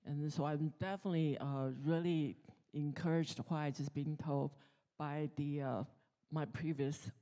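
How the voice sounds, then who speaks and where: A woman from Taiwan giving an informal conference presentation, speaking candidly in a halting way. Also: low-pitched, fairly even intonation, mellow. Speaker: tech executive and guest speaker. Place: conference stage.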